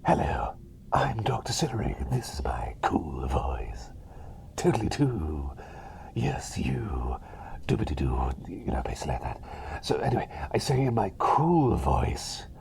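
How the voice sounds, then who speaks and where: A man's voice: deep voice, huge pitch swings, a little slow, vocal fry, a little mellow, a little hiss or hum, heavily acted. Man playing a whispery, eccentric, cheery plague doctor. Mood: playfully cool, then excited, sheepish. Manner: whispery, rambling, hesitant, soothing. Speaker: ASMR roleplay performer. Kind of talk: whispered ASMR personal attention roleplay.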